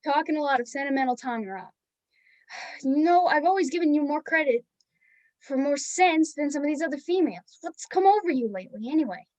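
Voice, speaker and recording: A woman in an amateur play acting a stern small-town husband and father, gruff and reproachful. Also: high-pitched, lively intonation, brisk, a little mellow, no echo, poor audio. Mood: stern, scolding. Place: filmed on a video call.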